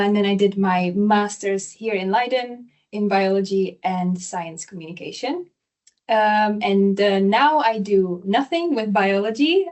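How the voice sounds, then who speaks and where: A young woman introducing herself as an alumna and science editor in a clear, matter-of-fact way. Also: medium pitch, a little mellow. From Czech Republic.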